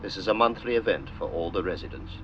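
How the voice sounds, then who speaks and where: Male documentary narrator, old-fashioned delivery, low voice, solemn and measured; dull, noisy recording. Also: lively intonation. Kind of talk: scripted narration in old-style documentary manner. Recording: dull lo-fi sound with clear noise.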